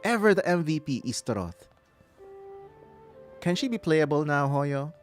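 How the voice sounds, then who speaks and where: A man's voice: fairly high pitch, sing-song, unhurried, faint music behind. A man giving live playthrough commentary as a gaming streamer, musing aloud and breaking into exclamations.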